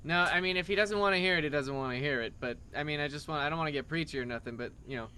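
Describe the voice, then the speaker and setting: A man's voice: fairly high pitch, rapid-fire, pushed and bright, a little hiss or hum. A man doing improvised comedy banter in a rambling, mock-earnest way.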